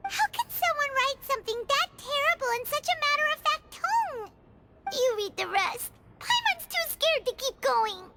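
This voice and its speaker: A woman voicing a tiny, excitable, easily scared fairy companion in a video game, with a squeaky, childlike voice and exclamatory delivery. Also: normal speed, heavily acted. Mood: scared, apologetic. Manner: refers to self in third person, exclamatory. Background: game music.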